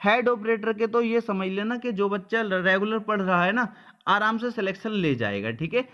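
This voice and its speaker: A man's voice: sits high, expressive, moderate pace, pushed and bright. A man giving an informal update to students as a coaching teacher, blunt and colloquial, often checking they follow with short tags.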